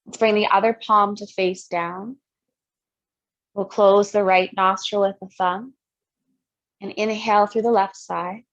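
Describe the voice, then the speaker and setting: A woman yoga instructor leading a guided breathing meditation in short, gentle step-by-step cues. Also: medium pitch, fairly even intonation, a little slow, long pauses, lo-fi sound.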